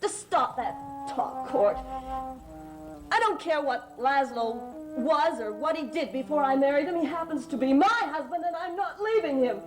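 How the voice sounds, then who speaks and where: An actress playing an anxious, stubborn middle-aged mother in a melodramatic gothic soap opera, with halting, hesitant phrasing. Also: high voice, animated, low-quality recording. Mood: defiant. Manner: halting, hesitant, repeating words. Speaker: actor in a TV drama. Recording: old TV soundtrack under loud music.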